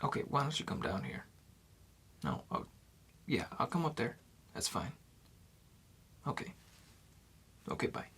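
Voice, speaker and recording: A man playing a chatty, nervous bartender in an ASMR noir roleplay, speaking softly and often in whispers with tough-guy slang. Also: mid-range voice, big pitch swings, a little slow, long pauses, breathy edge, exaggerated voice.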